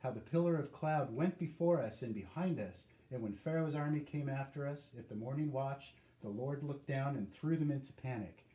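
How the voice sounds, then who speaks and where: A man's voice: mid-range voice, normal speed, hardly any pauses, dark tone. A man reading scripture aloud with his family in a church service, speaking earnestly in the first person as Moses. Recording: echoey church with faint background noise.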